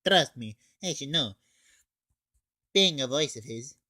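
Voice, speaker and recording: A man's voice: mid-range voice, lively intonation, unhurried, pushed and bright. A man voicing a brash, teasing soldier in a scripted comic video review. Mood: smug teasing. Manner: needling, punctuates talk with "comrade" and "duh".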